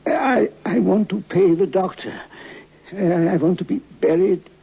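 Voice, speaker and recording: Frail raspy dying old captain. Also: male voice, high-pitched, exaggerated voice. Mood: feeble, anxious. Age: older. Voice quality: raspy, weak, croaky. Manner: halting, stammering, feeble. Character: ailing, dying old sea captain, stubborn about money. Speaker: actor in a radio play. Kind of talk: old-time radio horror acting. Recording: dull old radio recording.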